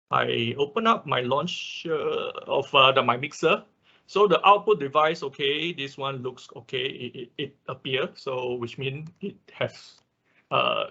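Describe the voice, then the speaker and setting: A man instructs an online class step by step in an informal way, often pausing to check in. His voice is bright and forceful with a creaky edge. The sound is dull and lo-fi, as from a computer microphone. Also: medium pitch. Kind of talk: hands-on technical tutorial, walking through steps.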